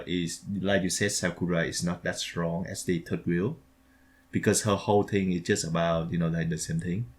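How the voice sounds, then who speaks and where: Deep-voiced man with non-native grammar. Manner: non-native grammar, frequent agreeing "yep". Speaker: podcast co-host. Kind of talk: casual anime discussion.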